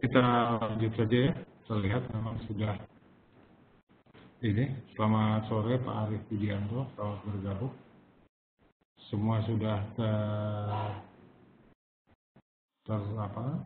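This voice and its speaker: Slow, halting man on webinar. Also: on the low side, level tone, a little hiss or hum. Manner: slow, halting, many pauses and fillers. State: slight cough. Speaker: webinar host and forex educator. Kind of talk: live online trading webinar. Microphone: computer mic over webinar. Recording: tinny phone-like webinar audio.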